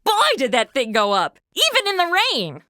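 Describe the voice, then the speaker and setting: A woman playing a brash, excitable, chatty young woman who hosts a comedy audio drama. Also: sits high, normal speed, dry recording, studio quality, exaggerated voice. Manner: exclamatory, chatty, teasing. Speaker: show's lead and host.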